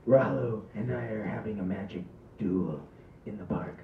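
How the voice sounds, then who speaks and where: A man's voice: fairly low pitch, normal speed, lightly acted. A man reading the part of a cocky, boastful magician at a table read, smug and taunting. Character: cocky, petty, boastful stage magician brother. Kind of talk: amateur table read from script. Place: small indoor stage. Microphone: far camera. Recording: distant, echoey, tinny camera audio with noise.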